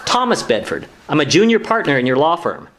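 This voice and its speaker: A man's voice: fairly high pitch, big pitch swings, brisk, a bit bright, a little hiss or hum. A man playing an earnest, deferential junior lawyer, easily exasperated, in a radio sitcom. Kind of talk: sitcom-style radio play dialogue.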